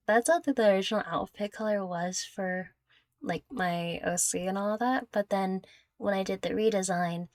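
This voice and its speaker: Low-pitched young woman, close dry sound. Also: a little mellow, no echo. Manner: rambling, giggly, self-deprecating. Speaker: content creator answering viewer questions. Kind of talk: casual Q&A chat.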